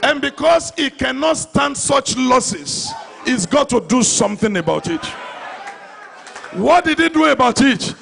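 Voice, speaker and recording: A man's voice: extremely high pitch, animated, unhurried, gravelly, fairly bright tone. A middle-aged man with a Nigerian accent preaching an impassioned, emphatic sermon into a handheld microphone, repeating phrases for effect. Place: large church hall before a congregation. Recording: live church PA with faint crowd.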